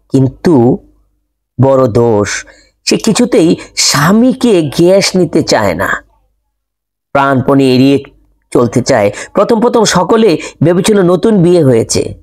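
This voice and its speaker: Higher-pitched man narrating a story. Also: big pitch swings, slow, long pauses. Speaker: audiobook narrator. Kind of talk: scripted narration of a classic ghost story.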